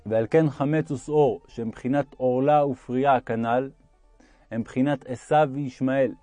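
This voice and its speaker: A man's voice: medium pitch, steady tone, warm tone, no echo, soft background music. A man reading a religious text aloud as an audiobook narrator.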